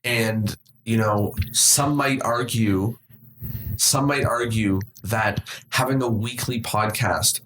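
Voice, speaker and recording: A man's voice: mid-range voice, a bit bright, a little hiss or hum. Young man in an echoey room. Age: 20s. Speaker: podcast host. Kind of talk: casual podcast discussion. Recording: roomy, echoey sound.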